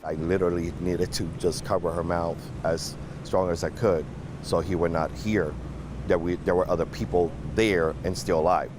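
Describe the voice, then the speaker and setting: Low male witness, halting testimony, outdoor traffic noise. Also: steady tone. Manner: shaken, halting recounting. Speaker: eyewitness survivor. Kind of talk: emotional interview testimony.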